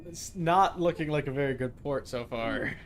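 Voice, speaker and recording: A man's voice: on the high side, expressive, moderate pace. A man doing casual live game-stream commentary, muttering to himself and repeating his phrases. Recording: noticeable background noise.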